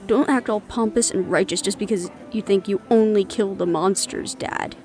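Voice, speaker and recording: A woman's voice: mid-range voice, normal speed, darkish tone, soft background music, lightly acted. A woman acting in an audio roleplay as a young adult daughter, defiant, loyal and argumentative, with an emotional, sarcastic edge. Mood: angry, defiant.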